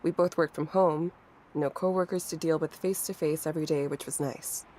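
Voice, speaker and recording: A woman's voice: deep voice, steady tone, brisk, a little mellow, a little hiss or hum, close to an everyday voice. An actress playing a grief-stricken, bitter young woman in a horror audio drama, with a weary, sighing, sardonic delivery. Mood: weary, wry. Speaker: voice actor narrating an audio drama. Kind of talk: first-person dramatic narration and dialogue. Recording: music under the voice. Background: music.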